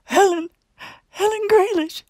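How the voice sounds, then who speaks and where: A woman's voice: very high voice, measured pace, a little mellow, no room sound, clean studio sound, pitched above the performer's own voice. A woman voicing a panicked young wife in her thirties, crying and gasping out broken phrases. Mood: panicked sobbing.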